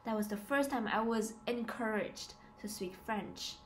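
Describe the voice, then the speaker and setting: A woman's voice: a little high, a little slow. A woman in her twenties talking casually and confessionally to a camera indoors, hesitant yet emphatic, often repeating her words. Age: 20s. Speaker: student living abroad, vlogger. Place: small plain room indoors. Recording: noisy home camera recording.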